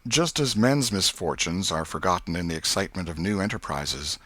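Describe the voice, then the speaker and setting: A man reading literary narration as an audiobook narrator, in an expressive, measured storytelling manner. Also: deep voice, no room sound.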